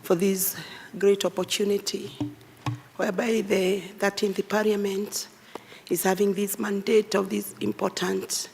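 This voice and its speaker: A hoarse woman, a bishop formally presenting herself and her submission at a hearing, soft and courteous. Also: mid-range voice, level tone, measured pace, slight background noise. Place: large hearing room. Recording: long hall reverb.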